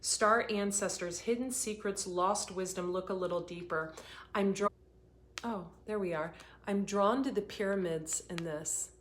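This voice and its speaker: A woman hosting a live oracle card reading, talking in an earnest, rambling way, her voice getting hoarse. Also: mid-range voice, measured pace. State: voice going hoarse. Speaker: intuitive reader hosting a livestream.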